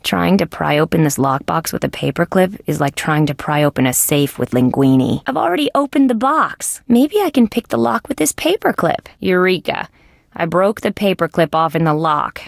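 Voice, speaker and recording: A woman's voice: deep voice, big pitch swings, normal speed, creaky, no room sound, clean studio sound, heavily acted. A woman voicing a tough, wisecracking female insect police detective in a video game, with a wry, sardonic delivery. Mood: matter-of-fact. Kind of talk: scripted game dialogue, detective banter.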